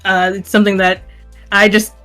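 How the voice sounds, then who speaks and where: A woman's voice: medium pitch, flat delivery, normal speed, fairly bright tone. Woman in casual chat, faint music. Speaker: podcast panelist. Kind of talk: casual fan discussion.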